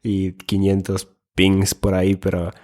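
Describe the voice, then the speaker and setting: A man in his late twenties from Finland talks as co-host of a casual podcast. His voice is lower than most men's. He speaks with dry, self-mocking humor. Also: completely flat delivery, measured pace, mellow. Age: 20s.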